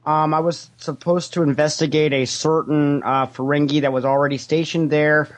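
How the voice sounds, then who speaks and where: A man's voice: on the high side, level tone, normal speed, natural voice. A man playing a cheerfully shameless, greedy Ferengi alien money investigator, talking in a hesitant, rambling, matter-of-fact way in an improvised interview. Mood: hesitant, confused. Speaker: interview guest. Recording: internet call connection.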